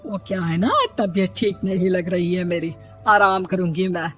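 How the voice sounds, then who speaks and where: A woman voicing an exasperated older mother-in-law, sharp-tongued and complaining, in a cartoon story. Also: fairly low pitch, steady tone, normal speed, over music, close to an everyday voice. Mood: dismissive. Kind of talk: Hindi cartoon story dialogue.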